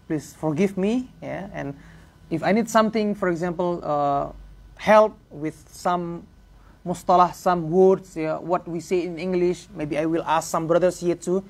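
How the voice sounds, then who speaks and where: A man in his thirties with an Indonesian accent, giving an informal religious lesson in halting English, filling pauses with "yeah" and "uh". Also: high voice, gravelly, a little mellow. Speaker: religious teacher. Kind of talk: informal lecture to a small class. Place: prayer room, indoors.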